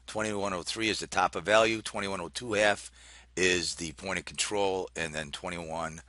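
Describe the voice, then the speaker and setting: Male market analyst, very low voice, dry close sound, off-the-cuff and halting delivery. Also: fairly even intonation, pushed and bright, no room sound. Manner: halting, thinking aloud while reading out numbers. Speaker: market analyst hosting a trading briefing. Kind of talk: informal unscripted market commentary.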